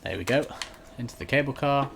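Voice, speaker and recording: A man's voice: mid-range voice, a little fast, gravelly, slight background noise. A man giving casual walkthrough commentary on a video game as he plays. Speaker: gaming channel host.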